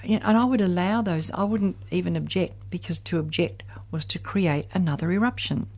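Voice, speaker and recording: A woman's voice: on the low side, fairly quick, a little mellow. A woman of seventy sharing her personal story in conversation, reflective and candid. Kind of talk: personal recollection in group conversation.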